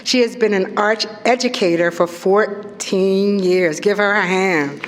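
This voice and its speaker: A woman's voice: mid-range voice, unhurried, crowd noise, background noise. Woman introducing speakers in a reverberant hall. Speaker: event presenter. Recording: long hall reverb with music.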